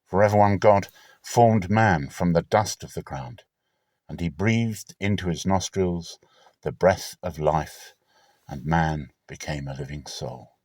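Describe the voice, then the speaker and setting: A man with a fairly low voice narrates scripture as a dramatic reading. His delivery is solemn and declamatory, and the sound is dry and close, with little room around it. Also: measured pace, darkish tone, no echo.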